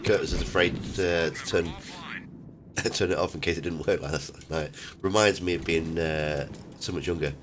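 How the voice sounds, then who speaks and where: A middle-aged man with a very low voice hosts a casual gaming chat. He rambles a little hesitantly, with loud gunfire and mechanical game noise behind him. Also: steady tone, moderate pace. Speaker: game streamer hosting a multiplayer chat.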